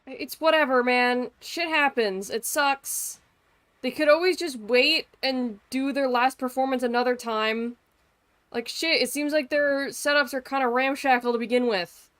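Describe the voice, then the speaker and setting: A woman's voice: high-pitched, fairly even intonation, unhurried, fairly bright tone, no echo. A woman giving casual, sweary, blunt and joking live commentary as a game streamer.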